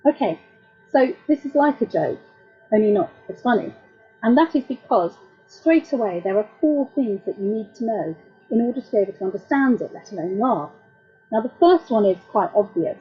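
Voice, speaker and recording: A middle-aged woman lecturing as a translator, explaining jokes in a wry, measured way. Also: medium pitch, highs cut off. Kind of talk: academic talk.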